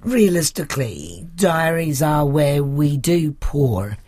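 A woman's voice: deep voice, big pitch swings, slow pace, mellow, slightly rough, no room sound. A woman interviewing a guest in a conversational way.

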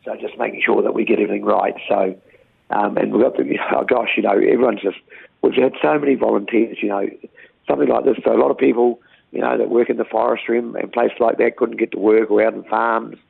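A New Zealand man speaking as a town mayor in a phone interview, with a croaky voice and an earnest, rambling way of talking that restarts words. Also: lowish voice, fairly even intonation, fast talker, no echo. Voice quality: croaky, gravelly voice. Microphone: phone line. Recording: tinny phone line, dry.

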